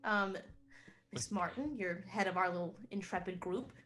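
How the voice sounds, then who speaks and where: A woman's voice: medium pitch, moderate pace, a bit bright, soft background music. A woman playing in a tabletop roleplaying game, chatting and stating her actions in improvised style.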